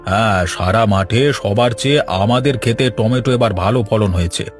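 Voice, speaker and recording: A man's voice: lowish voice, a little fast, soft background music, natural voice. A man voicing a resigned, weary elder brother, a village sharecropper in a cartoon, with a plain, rueful delivery. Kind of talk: cartoon voice acting.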